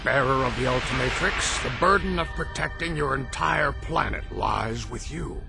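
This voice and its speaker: A solemn alien elder from a cartoon game, heavily gravelled. He speaks formally and portentously while very loud music swells behind him. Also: mid-range voice, moderate pace. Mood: grave.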